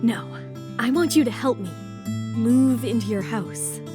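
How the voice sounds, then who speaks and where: A woman's voice: fairly high pitch, measured pace, music in the background, natural voice. A woman voicing a headstrong young betrayed bride, fierce yet composed, in an audio drama. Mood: cool, determined.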